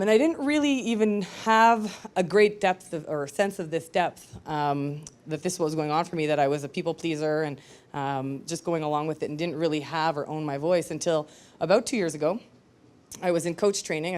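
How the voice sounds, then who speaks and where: A woman's voice: sits low, a little fast, darkish tone. A woman telling a personal story to an audience in a candid, conversational, self-reflective way. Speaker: speaker at a live storytelling show.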